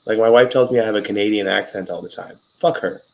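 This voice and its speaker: A man with a lowish voice hosts a casual interview. He is chatty and jokey, drifting into loose, profane stories with quick asides. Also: gravelly, slight background noise. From Wisconsin. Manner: chatty, joking, profane storyteller. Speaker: interviewer, podcast host.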